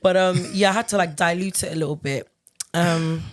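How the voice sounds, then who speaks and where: A woman's voice: on the low side, steady tone, a little fast. Chatty young woman, laughing a lot. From Ireland. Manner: chatty, laughs often, many fillers. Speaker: guest, singer-songwriter. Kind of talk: casual podcast conversation.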